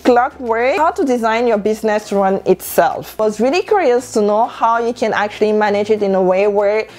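A woman's voice: medium pitch, fairly quick. A young woman whose first language is French, talking casually to camera in a room and gesturing as she speaks. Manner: animated, gesturing with hands while standing. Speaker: vlogger. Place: indoor room with clothing rack. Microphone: lapel mic.

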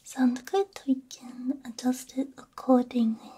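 A woman whispering softly in an ASMR roleplay, gentle and reassuring, speaking close into a binaural microphone. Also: sits high, steady tone, measured pace, slight background noise. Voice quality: breathy, mostly whispered. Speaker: ASMR roleplay creator playing a robot repair technician.

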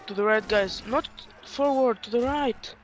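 A man leading a group in online game voice chat, barking quick commands. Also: very high-pitched, a little hiss or hum. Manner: barks quick commands, excitable. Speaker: line battle officer giving orders.

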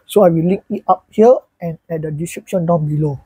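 Husky young man, dry room. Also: on the high side, a little fast, warm tone, no echo, poor audio. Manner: chatty, loose, repeats "so yeah" and "alright, guys". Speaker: YouTuber. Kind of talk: casual vlog talk to camera. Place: small bedroom with a fan, indoors, seated.

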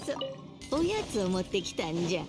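An actress voicing a frail, gentle old grandmother who speaks in an old-fashioned way, heard as game audio. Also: fairly low pitch, big pitch swings, fairly quick. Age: older. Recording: game audio with music underneath.

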